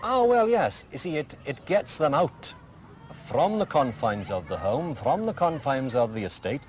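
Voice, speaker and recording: A middle-aged Welsh headmaster answering interview questions in a measured, explanatory way, giving plenty of examples. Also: male voice, mid-range voice, big pitch swings, faint music behind. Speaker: school headmaster. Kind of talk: archive community TV interview answers.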